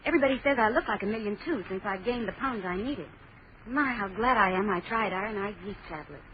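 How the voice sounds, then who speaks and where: Young woman customer played by an actress, quick delivery, 1940s radio commercial, muffled sound. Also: mid-range voice, expressive, lightly acted. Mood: peppy, delighted. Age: young adult. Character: young lady in a tonic ad, fretful then peppy. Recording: muffled, hissy vintage radio broadcast.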